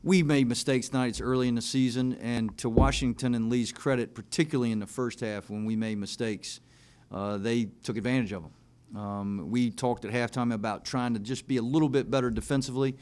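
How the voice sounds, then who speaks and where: A man's voice: mid-range voice, steady tone, darkish tone. Man coaching at a press conference. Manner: measured, plain-spoken, frequent "uh" fillers. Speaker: basketball coach. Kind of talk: post-game press conference answers. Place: press room in front of a team backdrop. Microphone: desk mic on a stand.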